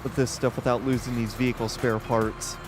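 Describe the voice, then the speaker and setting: A man with a loud voice giving rambling, casual commentary while streaming a game live, often restarting his sentences and talking to the game. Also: medium pitch, flat delivery, fairly quick, over music. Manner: rambling, self-correcting, talks to the game.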